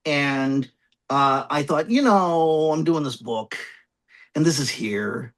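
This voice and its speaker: A man speaking as a guest author in a conversational interview, reflective and halting, often circling back to repeat phrases. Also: fairly high pitch, animated, a bit bright.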